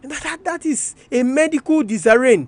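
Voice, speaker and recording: A man's voice: very high-pitched, normal speed, no echo. A man with a Nigerian Yoruba accent giving an educational radio talk, explaining didactically, repeating key terms and asking rhetorical questions as he switches between two languages. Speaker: radio presenter.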